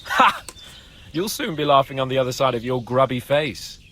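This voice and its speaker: A man's voice: medium pitch, animated, normal speed, pushed and bright, slight background noise. A man playing a boastful, pompous young nobleman in a medieval video game cutscene, mocking and full of theatrical exclamations. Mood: haughty.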